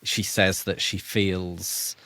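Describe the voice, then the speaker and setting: A man co-hosting a podcast, talking casually about a book in a measured way, often restarting as he finds his point. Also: sits low, level tone, sharp tone, no echo. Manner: measured, restarts as he gathers thoughts. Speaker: podcast co-host.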